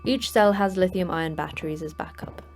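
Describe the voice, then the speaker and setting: An actress playing the terse, dry manager of a secret prison for monsters in a sci-fi horror audio drama, speaking in a clipped, matter-of-fact way. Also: female voice, lowish voice, moderate pace, a little mellow, faint music behind, natural voice. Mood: dry, grim.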